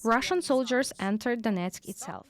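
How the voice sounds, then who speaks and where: A woman giving a lively, conversational first-person voice-over translation of an interview. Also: a little high, normal speed.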